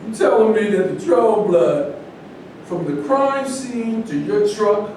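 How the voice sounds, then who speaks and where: Man acting mocking old-pro boxer at a play reading, slightly high voice, way off mic in a boomy echo. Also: a little slow, darkish tone. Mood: scornful, taunting. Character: scornful, taunting veteran boxer, adult man. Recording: echoey noisy room, far from mic.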